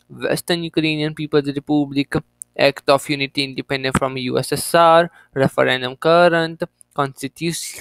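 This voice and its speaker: A young man hosting a geography channel, reading an encyclopedia page aloud with halting restarts and offhand jokes. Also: a little high, a bit bright, smooth, no room sound, poor audio.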